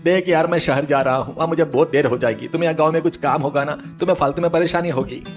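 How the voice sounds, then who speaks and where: Man acting a fast-talking, exasperated villager. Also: fairly high pitch, faint music behind, close to an everyday voice. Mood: wary, grumbling. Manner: impatient, scolding, grumbling. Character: exasperated busy village man, short-tempered, reluctant listener.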